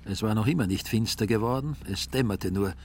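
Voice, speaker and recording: A man narrating a literary audio drama in a measured, dryly ironic way. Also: lowish voice, darkish tone, slight background noise.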